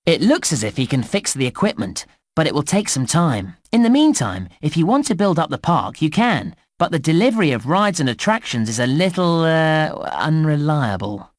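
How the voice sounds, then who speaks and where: An actor playing a chirpy, helpful male theme park guide in a children's game, with cheery, clearly enunciated scripted narration. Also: a little high, big pitch swings, moderate pace, hardly any pauses, no room sound, studio quality. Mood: animated, concerned.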